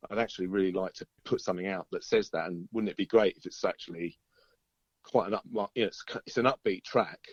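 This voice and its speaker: Low-voiced British man, dull lo-fi sound. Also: fast talker, no echo. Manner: chatty, rambling storyteller, often chuckling. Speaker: musician being interviewed. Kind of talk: casual interview chat.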